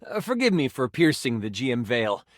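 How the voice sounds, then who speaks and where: A man voicing an earnest, excitable, nerdy game master in a video game, with a theatrical tabletop narrating manner. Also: medium pitch, normal speed, no echo, studio quality, close to an everyday voice. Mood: friendly, awkward. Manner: theatrical, earnest, awkwardly gushing.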